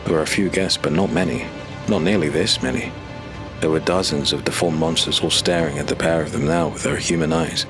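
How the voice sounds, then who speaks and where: A man narrating a dark fantasy audio drama in a measured, steady storytelling voice. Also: very deep voice. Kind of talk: scripted narration. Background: music.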